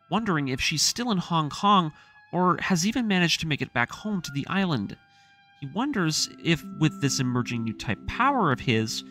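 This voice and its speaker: A man with a fairly high, bright voice tells a story recap over background music. His delivery is dramatic and expressive, with pitch that moves widely as he narrates from a script. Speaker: narrator of an anime story breakdown series.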